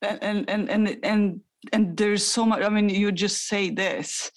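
A woman with a gravelly voice in a casual panel discussion, speaking animatedly and hesitantly, with many restarts. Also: medium pitch, fairly even intonation, measured pace.

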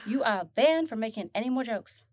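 A woman's voice: fairly high pitch, lively intonation, brisk, darkish tone, no room sound, clean studio sound, natural voice. A woman playing a blunt, sarcastic and grumpy young dead woman in a fantasy audio drama. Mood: blunt, teasing. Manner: sarcastic, casual, slangy.

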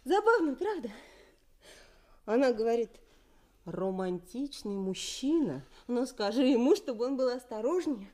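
Игривая молодая невеста, живая интонация. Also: female voice, fairly high pitch, sing-song, a little slow, warm tone. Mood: teasing, curious. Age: young adult. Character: playful, adoring young bride-to-be. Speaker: radio drama actor. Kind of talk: 1980s Soviet radio play acting.

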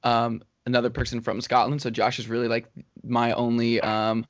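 A man's voice: mid-range voice, monotone, moderate pace, no room sound. Laid-back young male podcast host. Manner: loose, rambling, filler-heavy. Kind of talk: casual podcast chat. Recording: dull lo-fi dry call audio.

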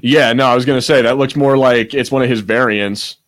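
A man's voice: mid-range voice, fairly even intonation, fairly quick, fairly bright tone. A man co-hosting a podcast in casual, unscripted chat, rambling, searching for words and breaking off mid-thought, with some swearing. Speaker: podcast co-host.

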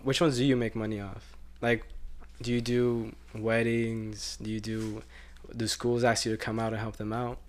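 A man's voice: on the low side, little pitch movement, normal speed. A man hosting a casual podcast interview, asking curious questions with hesitant fillers. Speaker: podcast host.

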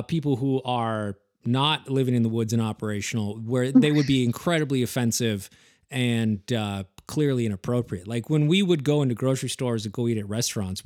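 A man hosting a casual interview, talking informally and haltingly as he thinks aloud. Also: medium pitch. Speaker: podcast host, former wildland firefighter.

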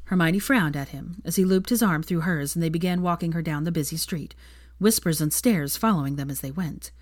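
A woman reading fan fiction aloud as expressive, scripted story narration. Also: deep voice, a little fast, no echo, clean studio sound.

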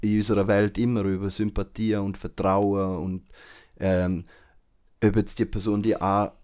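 A young man, co-hosting a podcast and calmly talking through his point in casual chat. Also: fairly low pitch, a little slow, smooth. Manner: brief backchannels, then steady reasoning. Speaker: podcast co-host.

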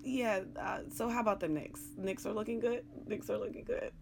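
A middle-aged woman hosting a sports show in casual, rambling talk, frank and joking, with a raspy, somewhat hoarse voice. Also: on the high side, animated, unhurried, darkish tone, slight background noise.

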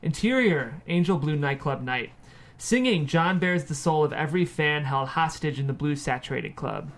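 Male narrator, fairly high voice, scripted narration, screenplay stage directions, table read. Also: lively intonation, normal speed.

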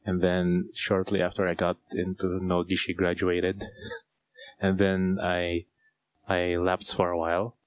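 A man's voice: low-pitched, monotone, measured pace, no echo. A man with a Filipino accent hosting a casual podcast chat, rambling with fillers and restarts. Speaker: podcast host.

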